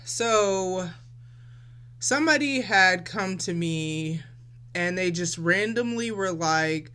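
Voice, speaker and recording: A woman's voice: lowish voice, animated, slow, sharp tone, smooth. A woman of forty hosting a casual solo podcast, talking in a reflective, loose and chatty way straight to her listeners. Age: 40s. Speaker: podcast host.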